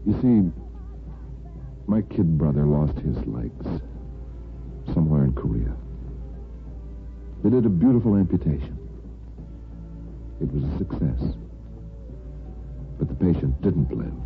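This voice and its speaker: A man's voice: rumbling low, sing-song, measured pace, long pauses, heavily acted. An American man playing an ardent, plain-spoken bachelor neighbor in an old-time radio soap opera, with earnest, folksy phrasing. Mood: grim, earnest. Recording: muffled vintage radio broadcast with music.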